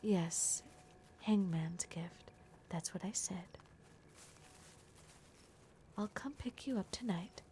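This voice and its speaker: A woman's voice: mid-range voice, slow, long pauses, dark tone, slight background noise, lightly acted. A woman voicing a blunt, protective young werewolf girl in an ASMR roleplay, often dropping into a whisper. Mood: protective, soft. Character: gruff, protective young werewolf girl, exasperated but caring.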